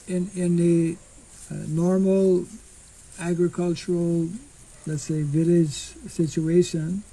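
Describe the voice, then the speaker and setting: An older monk giving an informal scripture class outdoors into a stand mic, speaking slowly and haltingly and clearing his throat often. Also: a little high, little pitch movement, warm tone, low-quality recording. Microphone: stand mic in front.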